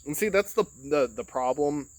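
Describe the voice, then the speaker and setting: A man's voice: on the high side, expressive, normal speed, no room sound, rough recording. A man from Michigan in a casual, rambling monologue, recorded on his phone, swearing often and filling his talk with "like" and "you know". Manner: rambling, profane, heavy filler words. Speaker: podcast host.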